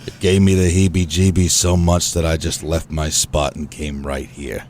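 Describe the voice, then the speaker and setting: An older, shady father played by a man in a comedic noir radio play. The voice is extremely deep, with a hesitant, imploring manner, recorded with a little background noise. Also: little pitch movement, moderate pace, a little mellow. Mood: worried. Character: shady old crook father, pleading and shifty.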